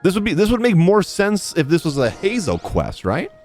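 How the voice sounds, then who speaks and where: Young guy streamer doing casual game commentary, lively slightly high voice, music in the bg. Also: normal speed. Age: young adult. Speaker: game streamer reacting to a story quest. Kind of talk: casual live gaming commentary. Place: indoor streaming room. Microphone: desk microphone close to mouth.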